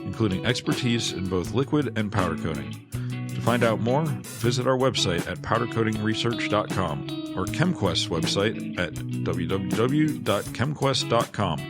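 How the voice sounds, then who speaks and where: A man's voice: on the low side, animated, moderate pace, over loud music. A man co-hosting a podcast, reading out scripted announcements and listener questions. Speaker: podcast co-host.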